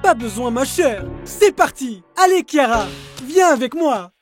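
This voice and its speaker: High-voiced flamboyant hairdresser dog. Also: female voice, lively intonation, a little slow. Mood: breezy, proud. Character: flamboyant, proud male hairdresser dog, doting uncle. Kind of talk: children's cartoon dub. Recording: music behind the voice.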